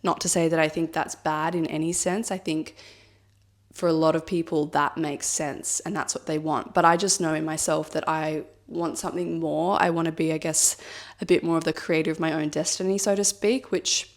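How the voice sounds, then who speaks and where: A woman in her thirties with a cold, sounding a little husky, talking reflectively in a personal vlog with many "like" and "I guess" fillers. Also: low voice, steady tone, normal speed. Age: 30s. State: has a cold, slightly husky. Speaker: vlogger.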